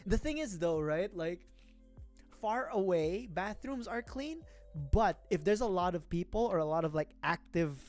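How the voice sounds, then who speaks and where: A man's voice: high-pitched, expressive, moderate pace, a little mellow, soft background music. A young man from the Philippines, chatting casually and rambling on a livestream, laughing often. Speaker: vtuber streamer. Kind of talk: casual chat while building a model kit.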